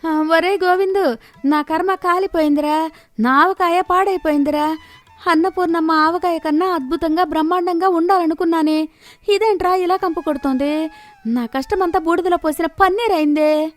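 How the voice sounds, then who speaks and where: Jealous older village matriarch, played by a woman, high voice, exclamatory and aggrieved, for a moral story cartoon. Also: fairly even intonation, moderate pace. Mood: wailing despair. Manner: wailing, exclamatory complaining. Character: jealous, loud, self-pitying older village mother-in-law. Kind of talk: animated moral story voice acting.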